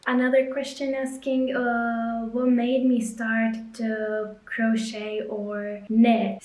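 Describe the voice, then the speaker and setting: A young woman with a Lithuanian accent talks easily in a slightly high voice. She chats in a relaxed, rambling way, with plenty of "uh" and "you know". The room around her is noticeably echoey. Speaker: crochet and knitting creator. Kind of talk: casual vlog chat while crocheting.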